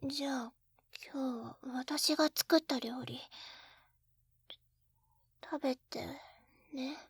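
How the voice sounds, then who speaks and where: A woman's voice: sits high, fairly even intonation, takes it slowly, fairly bright tone, no echo, studio quality, heavily acted. A woman playing an eager, clumsy, sweetly pouty young wife in an intimate binaural roleplay, with a cutesy, flustered delivery. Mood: sulky, hurt. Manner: cutesy, flustered, pouting, hesitant little gasps. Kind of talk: Japanese situation-voice roleplay, intimate ASMR audio drama. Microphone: close binaural 3Dio microphone.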